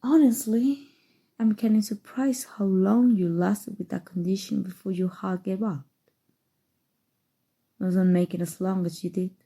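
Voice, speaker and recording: A woman playing a calm, seductive, obsessive evil sorcerer in an intimate, coaxing ASMR roleplay, with dramatic pauses. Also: mid-range voice, creaky, breathy edge. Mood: grave, measured. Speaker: voice actor. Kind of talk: ASMR roleplay, scripted acting.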